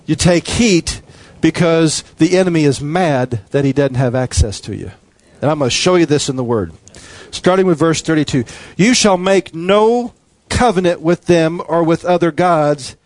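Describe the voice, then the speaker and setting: A man's voice: a little high, lively intonation, moderate pace, few pauses, a little mellow, dry recording. A man preaching a sermon with an emphatic, repetitive cadence.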